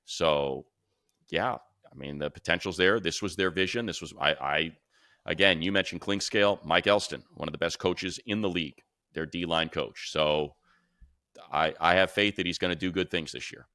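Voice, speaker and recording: A man's voice: deep voice, normal speed, bright, forceful tone, dry recording, clean studio sound. A man co-hosting a sports talk show, chatting casually and telling stories like a radio announcer, acting out the dialogue. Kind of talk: casual chat and storytelling.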